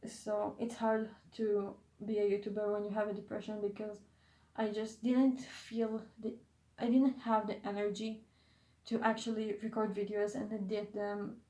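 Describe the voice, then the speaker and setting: A woman's voice: mid-range voice, level tone, normal speed, mellow, echoey room, poor audio. A young woman who is not a native English speaker, chatting in a casual vlog, hesitant and often losing her train of thought. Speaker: YouTuber.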